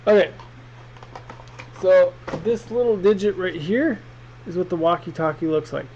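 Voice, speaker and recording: A man's voice: high-pitched, measured pace, darkish tone. A man giving a casual, rambling, hesitant product review in an unboxing vlog, speaking off the cuff. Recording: muffled, with clear background noise.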